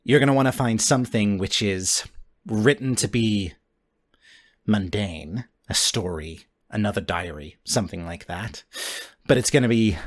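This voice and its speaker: Man voicing an intense, obsessive low-voiced puzzle lover. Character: intense, obsessive four-armed gamer, exasperated yet sheepish.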